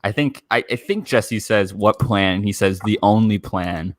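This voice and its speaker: Male co-host, fairly low pitch, very dry recording, informal fan discussion. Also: normal speed, dry recording. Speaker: podcast co-host.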